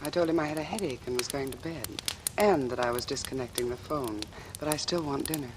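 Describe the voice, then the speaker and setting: Cool, wry noir dame, crackly recording. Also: female voice, extremely low pitch, quick pace. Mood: cool, flirtatious. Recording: noisy, crackly vintage radio recording.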